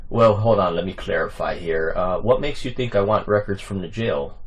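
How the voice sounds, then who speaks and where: A man's voice: sits low, normal speed. A man making an argumentative, officious phone call as a caller requesting records, insistent in his manner.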